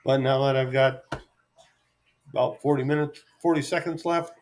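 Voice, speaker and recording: A middle-aged man talks off the cuff to his camera in an average-pitched voice. His delivery is easygoing and halting. He gives an unhurried, self-deprecating ramble rather than a polished script. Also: steady tone, darkish tone, slight background noise. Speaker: vlogger. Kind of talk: unscripted solo ramble to camera.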